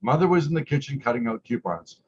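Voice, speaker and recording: A dull, lo-fi recording with noticeable background noise carries a man's story-time reading. He performs as a drag queen, speaks at an average male pitch, and trips over words now and then. His delivery moves between read-aloud passages and casual asides. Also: expressive, fast. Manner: tags remarks with "eh". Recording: muffled lo-fi audio with clear background noise.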